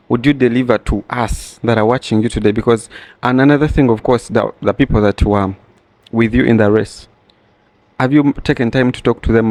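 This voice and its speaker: A man's voice: medium pitch, darkish tone. A man with a Ugandan accent interviewing casually, switching between languages and repeating phrases as he forms his questions.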